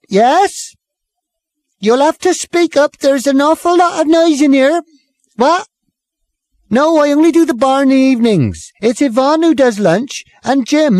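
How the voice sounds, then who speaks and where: A man voicing a flustered young barmaid, shouting over a noisy pub. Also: very high voice, dry recording, clean studio sound, pitched above the performer's own voice, exaggerated voice. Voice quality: loud, shouting over noise. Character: shrill young British barmaid, flustered and harried.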